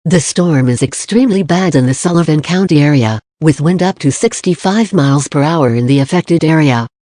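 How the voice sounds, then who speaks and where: Lady news reporter reading a storm script, mellow darker voice, very dry sound. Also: deep voice, animated, moderate pace, dry recording.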